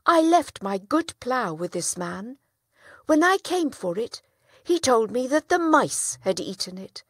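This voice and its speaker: A woman's voice: on the high side, very animated, unhurried, no room sound, clean studio sound, pitched above the performer's own voice. A woman voicing a shrewd male village trader, speaking up earnestly and insistently.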